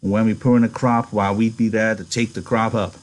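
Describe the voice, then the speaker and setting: A man voicing a practical, guarded yet dreamy male ranch worker with rough, folksy phrasing in a novel reading. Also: lowish voice, level tone, a little fast, lightly acted. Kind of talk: audiobook-style character reading.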